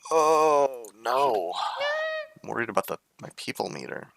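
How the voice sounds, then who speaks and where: A man's voice: mid-range voice, lively intonation, unhurried, vocal fry, background noise. A man streaming a game, reading its text aloud in many voices and chatting playfully about his choices. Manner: reads game characters' lines in varied voices, playful and chatty.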